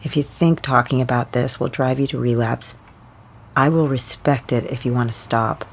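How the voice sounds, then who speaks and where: A woman playing a caring, steady counselor with dry humor, speaking in a measured, gently probing way in an audio drama. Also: rumbling low. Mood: earnest, reassuring. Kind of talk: naturalistic audio drama acting.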